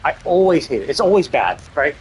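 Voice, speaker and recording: A man's voice: fairly high pitch, very animated, fairly quick, a little hiss or hum, poor audio. A man livestreaming casual game commentary, ranting in an exasperated, emphatic way and swearing freely. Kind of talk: casual gameplay commentary rant.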